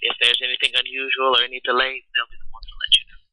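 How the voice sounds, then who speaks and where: A man's voice: medium pitch, monotone, rapid-fire, bright, forceful tone, no echo. A man working as a tax agency phone agent, giving patient, procedural step-by-step instructions over a telephone line. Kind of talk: customer service phone call. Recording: telephone call audio.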